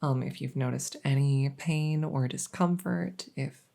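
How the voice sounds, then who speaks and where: A woman playing a polite, hesitant, matter-of-fact night vet in an ASMR audio roleplay, with a creaky voice. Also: very deep voice, unhurried, mellow, full character voice. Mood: professional, reassuring. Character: soft-spoken, polite night vet receiving a supernatural patient.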